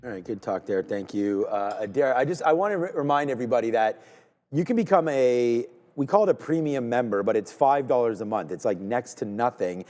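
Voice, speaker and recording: A man hosts a live market stream in a mellow, relaxed voice, rambling and salesy with plenty of false starts. His voice carries a long studio reverb behind it. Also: medium pitch, fairly quick. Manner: loose, salesy, rambling with restarts. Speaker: trading show host. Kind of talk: casual live-stream banter. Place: studio in Toronto.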